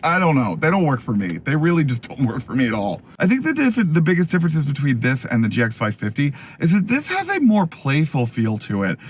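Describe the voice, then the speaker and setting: A man hosting a casual, chatty car review in an animated, opinionated, enthusiastic way, his voice going a little hoarse. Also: a little high, a little hiss or hum. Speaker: car review video host.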